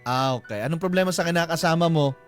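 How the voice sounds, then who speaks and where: A man's voice: on the high side, fast, soft background music. A Filipino man hosting a casual radio advice show, teasing and blunt as he jokes with a caller. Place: radio studio.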